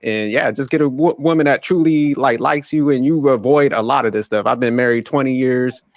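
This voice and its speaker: A man in his forties hosting a casual panel podcast, talking in a relaxed, rambling way and often repeating words. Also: mid-range voice, a little fast, no echo, studio quality. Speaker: podcast host.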